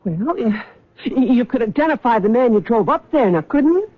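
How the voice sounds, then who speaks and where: An actress playing a worried, fearful wife in a 1970s radio drama, talking anxiously and pleading. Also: female voice, fairly high pitch, lively intonation, moderate pace. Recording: thin phone-like old radio broadcast.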